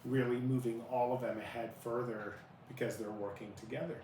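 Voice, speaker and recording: A man's voice: medium pitch, little pitch movement, fairly quick, darkish tone. An echoey, slightly distant recording with faint noise carries a man in his thirties telling his story. He is from Iraq and grew up in Utah, with Arabic as his native language, and he talks conversationally as an interview guest. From born in Iraq, grew up in Utah. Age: 30s. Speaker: interview guest, family lawyer.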